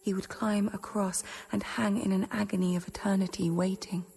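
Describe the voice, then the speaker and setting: An actress playing an anguished, feverish teenage convent novice in a radio drama, in a breathy, halting, intense whisper. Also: female voice, mid-range voice, little pitch movement, darkish tone, soft background music. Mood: dazed wonder. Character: anguished, feverish sixteen-year-old novice girl in visions.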